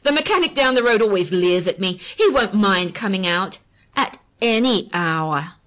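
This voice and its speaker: A spiteful widow spits out curses and snarls, as a woman puts her on. Her voice sits a little low, and the scorn stays close to the narrator's own sound. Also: steady tone, takes it slowly, bright, forceful tone, husky, exaggerated voice. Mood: scornful. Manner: snarling, cursing. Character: bitter, spiteful widow, middle-aged woman.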